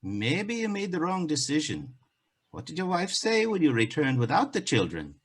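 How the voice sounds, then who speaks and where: A man's voice: a little high, wildly swooping pitch, moderate pace. A man acting a wry bartender and onetime giant killer in a fairy-tale stage play, speaking emphatically. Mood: probing.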